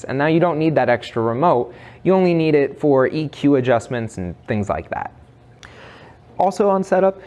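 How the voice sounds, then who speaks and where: A man presenting a product review in a conversational, semi-scripted way, with an enthusiastic, emphatic delivery. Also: mid-range voice.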